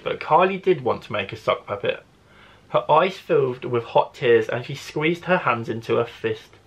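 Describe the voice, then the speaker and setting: Man, schoolteacher storyteller, mid pitch, slightly husky, expressive children's reading, deliberate phrasing. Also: muffled recording. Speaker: primary school teacher, storyteller.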